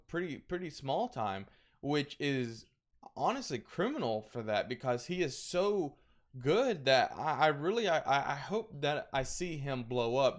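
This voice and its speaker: A man with a crackly voice chatting casually, rambling through recommendations with lots of fillers and restarts. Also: mid-range voice. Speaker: streamer recommending horror content.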